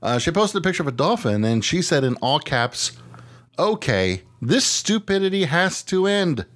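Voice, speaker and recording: An American man co-hosting a casual comedy podcast. His pitch jumps around freely as he talks, full of exclamations, slang and swearing. The delivery is loose and conversational. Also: moderate pace. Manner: animated, exclamatory, slangy and profane. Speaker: podcast co-host.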